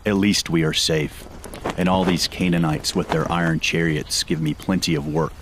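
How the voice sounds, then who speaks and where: Very low-voiced practical husband, acted by a man. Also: fairly even intonation, normal speed, lightly acted. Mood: matter-of-fact. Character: pragmatic metalworker husband in ancient Canaan. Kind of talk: radio drama acting. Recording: clear background noise and ambience.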